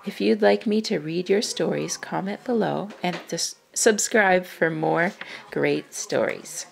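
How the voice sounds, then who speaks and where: A woman reading a children's picture book aloud in an animated, rhythmic storytelling manner. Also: fairly low pitch, unhurried, vocal fry, a little hiss or hum.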